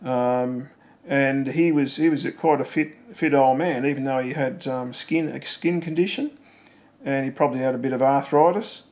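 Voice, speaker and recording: A man's voice: medium pitch, moderate pace, a little mellow. A middle-aged Australian man casually reminiscing about family, rambling with fillers and leading questions. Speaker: family member recording memories.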